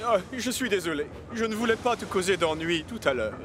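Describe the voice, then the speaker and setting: A boastful stubborn tank engine. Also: male voice, high voice, lively intonation, a little fast, a bit bright, slight background noise. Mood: apologetic. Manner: boastful, fussy and defensive. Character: proud, stubborn male tank engine, eager to please. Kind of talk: French-dubbed cartoon. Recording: music bed under the dialogue.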